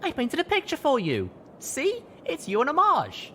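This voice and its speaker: A man acts out a little filly, a young girl pony in an audiobook story. The voice is very high and singsong, sweet, innocent and eager, with lively swings in pitch over noticeable background noise. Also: normal speed, full character voice. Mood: cheerful singsong.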